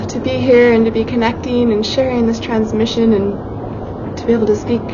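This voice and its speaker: A woman channeling a joyful, welcoming spirit guide who gushes with gratitude, in a dull lo-fi recording with loud background noise. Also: fairly high pitch, flat delivery, normal speed, natural voice. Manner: gushing, mixes in simple Spanish phrases.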